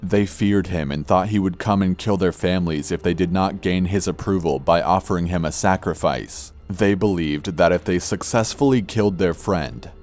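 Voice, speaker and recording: Male narrator for scripted true-crime horror, very low register, measured and somber, music bed underneath. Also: darkish tone.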